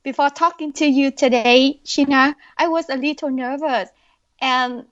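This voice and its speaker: Young woman with a Vietnamese accent, high and slow, on dull lo-fi audio; podcast guest. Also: fairly even intonation, a bit bright. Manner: slow, careful, earnest speech. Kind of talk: podcast interview answers.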